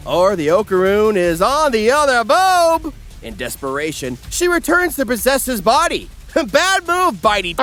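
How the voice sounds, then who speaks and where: Theatrical, very high-pitched man narrating. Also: a bit bright. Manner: theatrical, crude, sardonic. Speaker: narrator and host. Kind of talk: scripted comedic recap narration. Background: background music.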